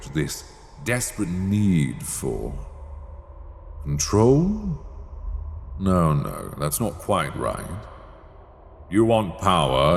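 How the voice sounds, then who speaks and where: Man acting a deep, slow, menacing sorcerer professor. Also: slight background noise, exaggerated voice. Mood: intrigued, ominous. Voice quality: low, creaky. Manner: deliberate, condescending, silky, teasing, formal diction. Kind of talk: slow dark fantasy ASMR roleplay acting. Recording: long cavernous reverb.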